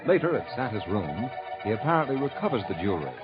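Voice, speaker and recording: A man's voice: on the low side, sing-song. Formal old-time radio announcer man. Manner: measured, formal. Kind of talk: scripted radio narration. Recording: muffled old radio broadcast with loud music.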